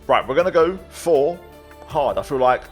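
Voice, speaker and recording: A man's voice: a little high, lively intonation, moderate pace, faint music behind. A man giving casual, upbeat gameplay commentary as a video creator.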